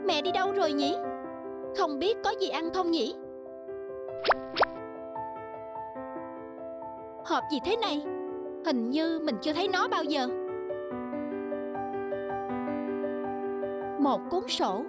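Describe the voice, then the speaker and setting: A woman's voice: sits high, lively intonation, a little slow. A woman voicing a stubborn, emotional schoolgirl daughter in a cartoon drama, with a plaintive manner. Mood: puzzled. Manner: plaintive, complaining. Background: children's style background music.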